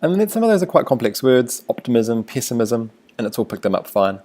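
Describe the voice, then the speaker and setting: A man with a New Zealand accent, a teacher talking through a screencast tutorial in a relaxed, thinking-aloud way. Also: mid-range voice, a little fast.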